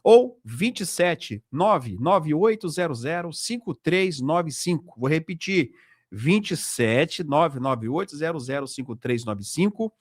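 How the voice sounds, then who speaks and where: A man's voice: a little high, animated, slow pace, no echo, clean studio sound. A Brazilian man hosting an informal commentary video, addressing viewers directly and repeating himself with frequent tag questions. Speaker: host of a political video channel.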